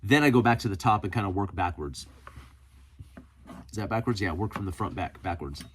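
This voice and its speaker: Low-pitched man in a casual vlog. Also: lively intonation, brisk, slight background noise. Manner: informal, chuckles at comments, self-deprecating humor. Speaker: host of a preparedness video channel. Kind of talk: casual solo vlog, reading and answering viewer comments.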